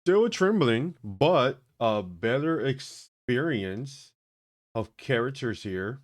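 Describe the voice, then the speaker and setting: A man hosting a tech video, chatting casually and thinking aloud as he tests a game, often halting and correcting himself. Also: medium pitch, big pitch swings. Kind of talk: casual commentary while testing a game.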